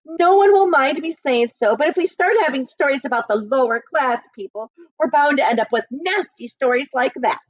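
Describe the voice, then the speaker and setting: A woman's voice: high-pitched, animated, normal speed, few pauses, dry recording, dull audio, voice pushed up. A woman voicing a coy, snobbish prioress who speaks with mock-French affectation.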